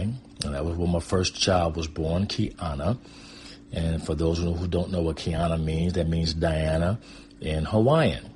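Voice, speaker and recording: Very deep-voiced American man reminiscing over noise. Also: darkish tone. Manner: rambling, conversational. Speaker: guest storyteller. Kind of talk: casual autobiographical storytelling.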